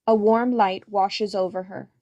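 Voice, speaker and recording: Mid-pitched woman reading stage directions. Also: fairly even intonation, unhurried, a little mellow, lo-fi sound. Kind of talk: scripted narration, present tense.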